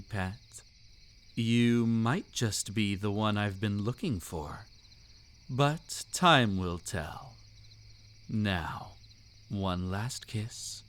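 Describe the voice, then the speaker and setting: A man's voice: on the low side, extremely slow, fairly bright tone, clean tone. A man playing a seductive, commanding vampire count in an intimate audio roleplay, suave, teasing and theatrically formal. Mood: intimate, possessive. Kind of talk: intimate roleplay audio drama, scripted monologue.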